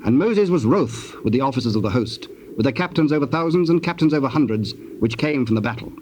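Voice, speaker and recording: A man narrating scripture from a script in a formal, measured, declamatory manner. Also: medium pitch, lively intonation, a little mellow, a little hiss or hum.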